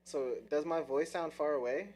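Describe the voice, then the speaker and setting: A man's voice: a little high, moderate pace, a little mellow. A man streaming, chatting casually over a game, brash and profane, repeating himself as he talks. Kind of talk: casual gaming chat. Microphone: game controller mic.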